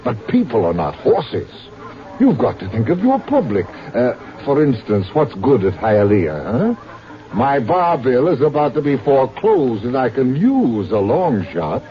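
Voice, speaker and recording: Fussy broke doc, big swoopy delivery, theatrical, old radio play, dull lo-fi sound. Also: male voice, mid-range voice, sing-song, a little slow. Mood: concerned, wheedling. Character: fussy, broke barfly doctor pal, bemused and wheedling. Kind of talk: vintage radio drama acting.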